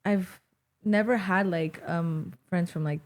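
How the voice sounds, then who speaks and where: A woman's voice: fairly low pitch, slow pace, darkish tone. Chatty young Mexican American woman. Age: young adult. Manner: rambling, heavy use of "like". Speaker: podcast guest. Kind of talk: casual interview chat. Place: small studio set with wooden tables. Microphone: boom-mounted studio mic.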